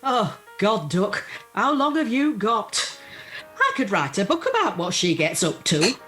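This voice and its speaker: Woman acting a slow-talking, animated Northern English older widow. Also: medium pitch. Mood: flustered, chatty. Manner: earthy, self-deprecating, uses homely dialect. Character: chatty, plucky older widowed woman caring for her mother. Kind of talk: amateur radio play acting. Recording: faint music, home video-call recording.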